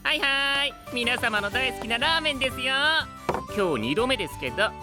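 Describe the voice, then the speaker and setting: A man's voice: extremely high pitch, expressive, brisk, music in the background. A man playing a sly young knight with courteous wording and a smug, teasing manner in an anime-style audio drama.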